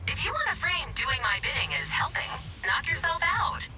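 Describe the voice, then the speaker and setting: A woman voicing a haughty, sardonic female robot in a video game, deadpan and condescending. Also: sits high, normal speed, over loud music. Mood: scornful. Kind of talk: video game character dialogue.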